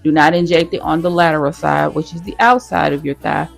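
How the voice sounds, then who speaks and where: A nurse chatting casually with her viewers in a how-to vlog, talking straight to them as y'all, her voice hoarse. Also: sits low, steady tone, a little fast, faint music behind. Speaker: nurse sharing her own experience.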